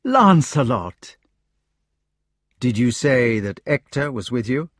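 A man's voice: mid-range voice, sing-song, takes it slowly, dry recording, studio quality. A man voicing a weary, stoical storybook knight who speaks in terse, understated phrases. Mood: subdued. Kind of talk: storybook character voice.

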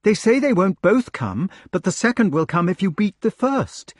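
A man voicing a flustered gentlewoman servant in a storybook, urgent, insistent and deferential. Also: sits high, very animated, normal speed, dry recording, studio quality, pitched above the performer's own voice. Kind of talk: storybook character voice.

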